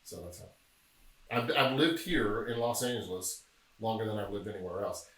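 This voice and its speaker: Deep-voiced middle-aged man, roomy and distant. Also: moderate pace. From Memphis, Tennessee. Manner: relaxed, joking, storytelling. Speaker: podcast guest, film producer. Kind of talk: casual interview chat. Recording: echoey room, distant mic.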